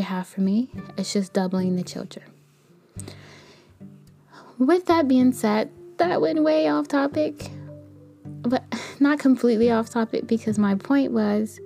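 A young woman with a light, soft voice talking casually in a rambling, candid personal podcast monologue. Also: medium pitch, creaky. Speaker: podcast host; kindergarten teacher.

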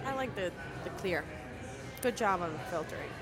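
A woman's voice: lowish voice, animated, moderate pace. A woman hosting a podcast in casual chat, with music playing behind her. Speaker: podcast host.

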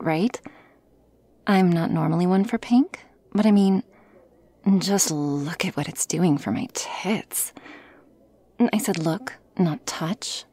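Playful, teasing girlfriend played by a woman for ASMR roleplay: very slow, breathy whispering with creaky edges. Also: on the low side, mellow, no room sound, heavily acted.

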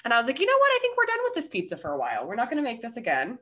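A woman in her thirties chatting casually as a podcast host, telling things in an animated, unfiltered way. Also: fairly high pitch, very fast. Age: 30s. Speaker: podcast host interviewing a guest. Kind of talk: casual chat.

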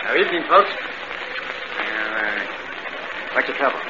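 An actor playing an odd old country man in a 1940s radio drama, halting, folksy and foreboding. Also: lowish voice, animated. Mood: curious. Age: older. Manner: halting, folksy, full of hums. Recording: muffled, noisy old radio broadcast.

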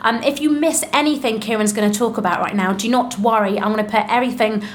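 A woman hosts a casual podcast interview in a fairly high voice. She is chatty, informal and effusive, and there is clear noise behind her. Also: quick pace. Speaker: podcast host. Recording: clearly noisy background.

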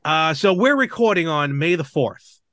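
A man hosting a casual chat podcast, talking in a halting, measured way with small asides and self-corrections. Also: a little high, lively intonation, fairly bright tone, dry recording, clean studio sound. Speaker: podcast host. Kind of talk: casual chat about games and film.

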